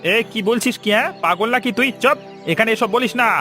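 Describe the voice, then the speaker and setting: A man's voice: high voice, fairly quick, sharp tone, soft background music. A man voicing a greedy, bullying village doctor in a cartoon, scolding and scornful. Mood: angry, tense. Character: greedy crooked village clinic doctor, bullying elder brother.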